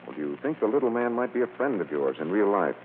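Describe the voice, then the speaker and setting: An actor playing a suave, unruffled amateur sleuth with a wry, brisk manner in an old-time radio drama. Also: male voice, on the low side, very animated, a little hiss or hum. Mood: courteously probing. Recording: muffled, tinny old radio recording.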